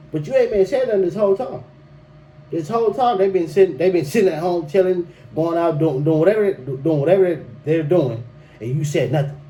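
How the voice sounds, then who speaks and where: A man's voice: on the high side, dark tone, poor audio. Laid-back young man. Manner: loose, repetitive and emphatic, with frequent restarts and "bruh". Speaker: podcast host. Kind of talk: solo podcast monologue giving relationship advice. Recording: faint background noise.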